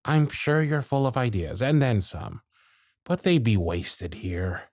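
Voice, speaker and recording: Guy voicing a tired sarcastic workshop supervisor, nearly a century on the job, blunt, lively up-and-down delivery, audio drama. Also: medium pitch, slow pace, no room sound, studio quality, full character voice. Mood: bitter, exasperated. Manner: sardonic, blunt. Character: jaded male workshop supervisor, century-old, gruff and weary. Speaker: voice actor in audio roleplay. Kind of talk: ASMR roleplay acting.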